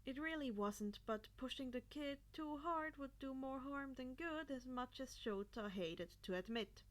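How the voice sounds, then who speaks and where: A woman's voice: high-pitched, lively intonation, a little slow. A woman reading a story as its narrator, in scripted narration. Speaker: narrator, podfic reader.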